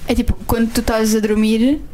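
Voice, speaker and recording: Uma mulher numa conversa descontraída, a fazer perguntas curiosas. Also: female voice, fairly high pitch, level tone, a little fast, dark tone, soft background music. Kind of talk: casual chat.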